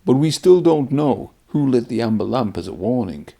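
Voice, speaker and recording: A man voicing a steady, cautious engine driver in a storybook, matter-of-fact and cautionary. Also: mid-range voice, normal speed, vocal fry. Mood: calm, wary.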